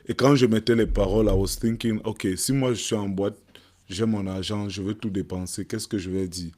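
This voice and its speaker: Young man from Cameroon, deep voice, very low-pitched, reflective, casual interview talk. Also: fairly even intonation, fairly quick, a little mellow. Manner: switches between French and English, reflective. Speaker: guest musician.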